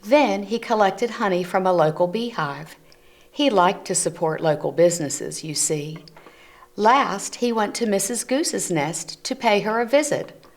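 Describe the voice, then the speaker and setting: A woman reading a picture book aloud to children, gently explaining the pictures as she goes. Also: medium pitch, measured pace. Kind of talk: read-aloud storytelling for children. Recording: community TV recording.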